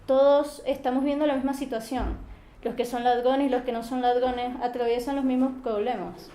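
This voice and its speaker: Young Venezuelan woman with a mellow voice. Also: high voice, fairly even intonation, moderate pace, echoey room, a little hiss or hum. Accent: Venezuelan, Maracaibo. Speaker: interviewee. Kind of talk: interview.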